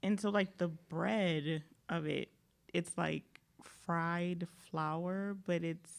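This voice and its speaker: A woman's voice: on the low side, fairly even intonation, extremely slow, vocal fry. A woman co-hosting a podcast, chatting casually in a laid-back, rambling way and laughing often. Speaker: podcast co-host. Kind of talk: casual chat.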